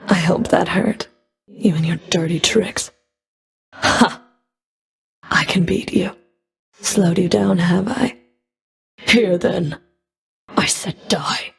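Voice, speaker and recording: Cruel underworld fury villain for a video game, gravelly fry in the voice, slow and scornful, bit breathy. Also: female voice, fairly low pitch. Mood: menacing, taunting, then cruel, threatening. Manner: deliberate, menacing, contemptuous taunting. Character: menacing female Fury of the underworld, cold, scornful, sadistic whip-wielder. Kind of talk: video game combat voice lines.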